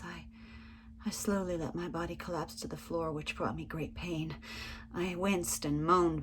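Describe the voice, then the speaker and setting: A woman's voice: low voice, a little hiss or hum. A woman reading a first-person story aloud in a dramatic, suspenseful and theatrical manner. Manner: suspenseful, theatrical, swinging from hushed to forceful. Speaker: reader of a written memoir.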